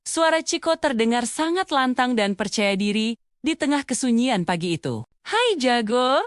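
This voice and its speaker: A woman's voice: a little high, animated, normal speed, no room sound, studio quality. A woman narrating a scripted children's fable as a storyteller.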